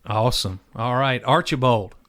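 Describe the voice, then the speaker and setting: Guy hosting a podcast, folksy and encouraging, middle pitch. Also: moderate pace, fairly bright tone. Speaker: podcast host. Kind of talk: casual podcast interview.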